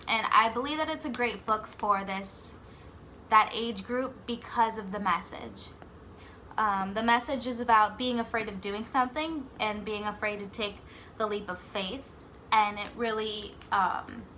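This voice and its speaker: A woman reading a picture book aloud like a teacher, expressively, pausing to put simple questions to young children. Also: mid-range voice, sharp tone, slight background noise. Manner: pauses to ask simple questions and echo the answers.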